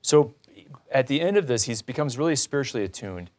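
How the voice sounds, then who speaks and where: A man's voice: medium pitch, animated, brisk, a little mellow. A man hosting a religious talk show, interviewing in an earnest, reflective, conversational way.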